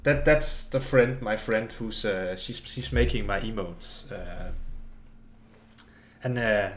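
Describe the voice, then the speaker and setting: A man's voice: lowish voice, slow pace, slight background noise. A man from Denmark, a native Danish speaker, chatting politely and casually while streaming a game, often restarting his words. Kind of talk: casual live-stream chat while gaming. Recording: game music playing behind.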